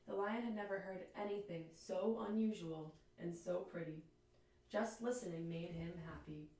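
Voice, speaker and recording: A woman's voice: on the low side, moderate pace, background noise. Woman reading a storybook in an echoey room. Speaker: teacher reading aloud to children. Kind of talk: children's storybook read-aloud. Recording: echoey room, distant microphone.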